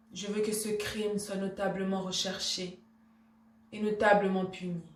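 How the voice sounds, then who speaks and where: A woman's voice: fairly high pitch, slight background noise. An actress playing a proud, outraged Renaissance noblewoman in a theatrical monologue, imperious and declamatory in her formal address. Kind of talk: theatrical classical stage monologue. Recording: echoey room, distant microphone.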